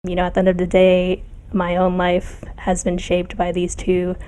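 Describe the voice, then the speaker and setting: A young woman from Los Angeles, an audio editor guest-hosting a podcast, talking casually and softly with small laughs. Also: lowish voice, monotone, moderate pace, clear, resonant tone. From Los Angeles, United States.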